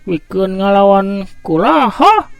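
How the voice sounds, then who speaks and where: A man voicing a mocking old male martial arts master who cackles and taunts in slow, drawn-out words. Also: high voice, sing-song, creaky, smooth, dry recording, faint music behind, voice pushed up, exaggerated voice. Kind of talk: storytelling with character voices.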